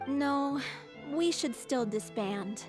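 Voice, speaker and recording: Ailing young stage performer, kind, slightly high pitch, game dialogue, soft background music. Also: female voice, lively intonation, slow pace. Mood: gentle, regretful. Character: kind young troupe woman in poor health. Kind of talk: video game voice acting.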